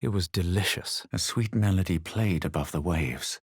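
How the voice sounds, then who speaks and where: Haughty young game hero, low husky voice, measured and theatrical, very dry recording. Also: male voice, dry recording. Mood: brooding, measured. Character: haughty, self-assured young male fantasy adventurer. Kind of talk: dramatic video game voice lines and narration.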